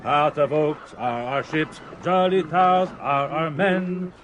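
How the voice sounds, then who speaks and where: A man's voice: a little high, takes it slowly, a bit bright. An actor playing an exuberant, whimsical Frenchman in a radio drama. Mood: merry. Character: exuberant, whimsical, easily delighted Frenchman at sea. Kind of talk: British radio drama acting. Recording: noisy sea ambience.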